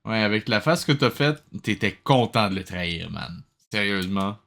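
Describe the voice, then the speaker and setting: Un homme à l'accent québécois qui commente de vieux dessins animés de façon décontractée et sarcastique, un peu exaspéré. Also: male voice, fairly low pitch, expressive, moderate pace, vocal fry, fairly bright tone. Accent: Quebec French. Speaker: host of a web show reviewing old cartoons. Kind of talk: casual comedic commentary.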